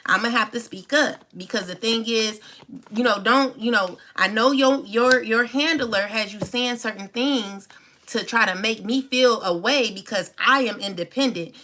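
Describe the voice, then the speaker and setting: A woman's voice: medium pitch, pushed and bright. A woman in her forties speaking off the cuff straight to her viewers, defiant and emphatic, repeating phrases to drive them home. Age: 40s. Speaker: tarot reader and channel host. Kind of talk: unscripted personal monologue to viewers.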